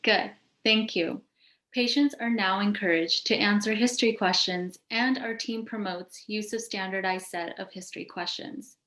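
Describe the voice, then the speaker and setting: A woman's voice: mid-range voice, normal speed, fairly bright tone. Woman host in a roomy, echoing space. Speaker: host asking questions of a panel. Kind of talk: scripted interview questions, read out.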